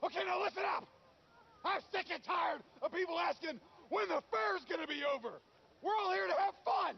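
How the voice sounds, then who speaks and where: Upbeat coach: oblivious, brittle forced cheer, highish animated voice, comedy drama. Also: male voice, fairly quick, muffled recording. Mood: exasperated. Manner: forced cheer, pep-talking. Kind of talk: comedic screen acting.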